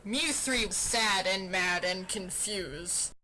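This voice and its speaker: A woman's voice: mid-range voice, slow, bright, forceful tone, rough recording. A woman doing an amateur, playful mock-dramatic story reading with casual asides. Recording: faint fan noise behind.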